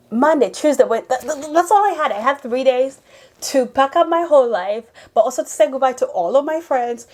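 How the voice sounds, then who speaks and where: A young woman from Uganda, not a native English speaker, telling a personal story to camera in a casual, animated way with many fillers. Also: on the high side. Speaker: vlogger.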